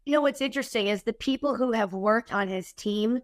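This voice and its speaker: A woman guest, her voice a little husky from a cold, chatting casually and candidly with a quick, joking manner. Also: a little high, dry recording, studio quality. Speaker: podcast guest, keynote speaker. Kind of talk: casual chat.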